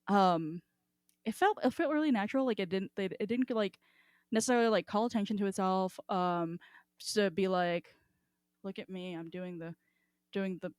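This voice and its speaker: A woman's voice: lowish voice, smooth, dry recording, studio quality. A woman co-hosting a podcast in casual, sweary book chat with emphatic asides. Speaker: podcast co-host.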